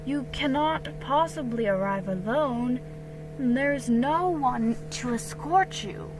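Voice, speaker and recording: A woman voicing a gentle, kindly colonial father, earnest and fond, in a dramatic storybook reading. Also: sits high, lively intonation, slow pace, background noise, voice pushed up. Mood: regretful.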